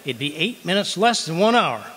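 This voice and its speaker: A blustery, argumentative husband from a 1940s-style radio comedy, played by a man. His voice is high for a man and very animated, ringing in a reverberant hall. He wisecracks and stammers indignantly. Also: normal speed. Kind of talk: 1940s radio comedy acting, live re-creation. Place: community hall at a home park, live performance. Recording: reverberant hall, live stage performance.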